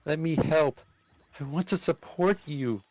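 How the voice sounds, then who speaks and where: A man voicing a wounded, pleading young woman in a dramatic story reading, speaking in an earnest, halting and emotional way. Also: fairly high pitch, dry recording, voice pushed up, natural voice, rough recording. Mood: pleading, concerned.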